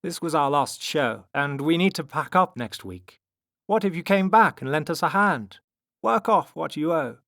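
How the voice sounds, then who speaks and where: A huge but gentle circus strongman in a storybook, voiced by a man, sincere and placating. The voice lifts well up in pitch with expressive swings. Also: normal speed, vocal fry, no echo, studio quality. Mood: apologetic.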